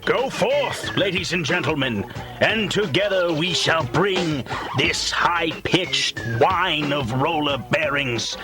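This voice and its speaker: A man narrating in a mock-solemn documentary style, like a grave orator, with pompous and deliberate delivery. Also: a little high, expressive. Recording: music behind the voice. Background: music.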